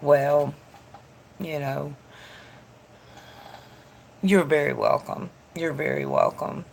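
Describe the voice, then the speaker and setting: A woman's voice: sits low, fairly even intonation, takes it slowly, gravelly, slight background noise, rough recording. A woman, stuffed up from allergies, chatting casually with viewers on a tarot live stream. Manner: chatty, rambling, greets viewers by name. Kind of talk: casual live-stream chat reading comments aloud.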